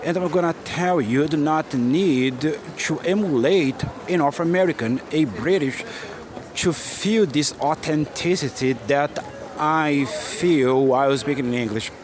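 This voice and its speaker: A man's voice: on the high side, measured pace, over music. A Brazilian man, a native Portuguese speaker, giving an earnest, encouraging English lesson outdoors and repeating his key phrases. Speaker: English teacher. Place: busy city street outdoors. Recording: noisy outdoor street recording. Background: street noise and traffic.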